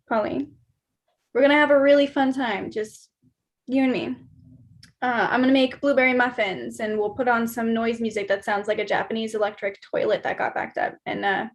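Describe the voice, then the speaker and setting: A woman's voice: on the high side, close to an everyday voice. A woman acting a breezy, coaxing young roommate with casual chatter in a naturalistic stage play reading.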